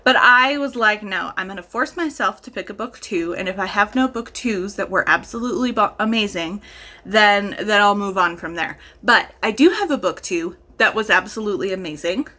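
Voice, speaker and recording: A woman's voice: medium pitch, animated, moderate pace, sharp tone. A woman chatting casually as a vlogger and reviewer, gushing with enthusiasm and leaning hard on emphatic words. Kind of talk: casual chat to camera.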